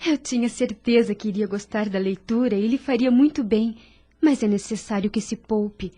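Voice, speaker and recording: A woman playing a devout, caring young woman at a sickbed in an audio drama, gentle, earnest and consoling. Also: on the high side, moderate pace, close to an everyday voice. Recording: soft music behind voice.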